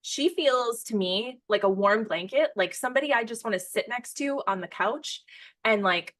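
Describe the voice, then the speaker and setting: A woman's voice: fairly high pitch, fairly bright tone, no room sound. A woman with an American accent hosting a casual podcast interview, introducing her guest with gushing enthusiasm. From United States. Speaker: podcast host.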